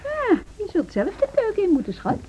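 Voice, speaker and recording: A woman's voice: very high-pitched, huge pitch swings, a little fast, dark tone, slight background noise, heavily acted. A woman acting an independent, assertive music teacher and wife in a Dutch social radio drama. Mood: teasing. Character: independent, spirited music teacher, wife standing her ground. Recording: dull, band-limited radio drama recording.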